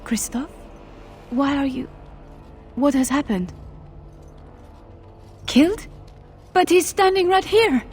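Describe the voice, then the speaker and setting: Bereaved wife, fantasy game. High, drawn-out, emotional delivery over soft music. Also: female voice, lively intonation. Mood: shocked. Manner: emotional, aghast, pleading. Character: distraught, outraged young widow confronting her husband's possessed body. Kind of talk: fantasy video game acting.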